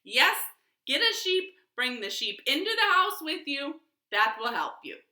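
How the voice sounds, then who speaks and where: A woman's voice: sits high, big pitch swings, unhurried, sharp tone, pitched above the performer's own voice, exaggerated voice. A woman voicing a confident village wise man in a children's story, giving advice emphatically. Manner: emphatic, repeats yes and yep. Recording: echoey living room.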